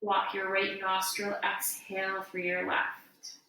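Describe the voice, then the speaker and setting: A woman giving guided meditation instruction as a yoga teacher, in a gentle, unhurried, soothing way. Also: mid-range voice, completely flat delivery. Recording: echoey room, distant mic, faint noise.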